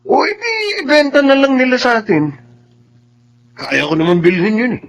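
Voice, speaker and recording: An actor with a Filipino accent playing a boastful, hard-nosed newly rich son in a radio drama, with a brash, domineering manner. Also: male voice, extremely high pitch, animated, normal speed, a bit bright, exaggerated voice. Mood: stern, impatient.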